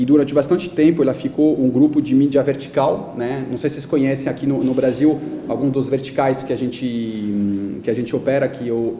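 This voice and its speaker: A man's voice: medium pitch, mellow. A man in his thirties with a French accent, speaking Portuguese as a second language in an informal, explanatory interview talk. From France. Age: 30s. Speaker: guest speaker, digital media company executive. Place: indoor event talk before an audience. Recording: echoey room with faint background noise.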